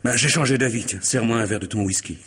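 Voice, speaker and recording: A man acting in a French radio mystery play as a betrayed, distraught husband, sardonic and agitated while forcing himself to stay calm. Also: medium pitch, quick pace, husky. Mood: bitter, agitated.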